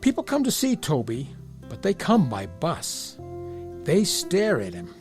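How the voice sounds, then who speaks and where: A man's voice: fairly high pitch, wildly swooping pitch, a little slow, over music. A man narrating a children's storybook tale as part of an audio drama.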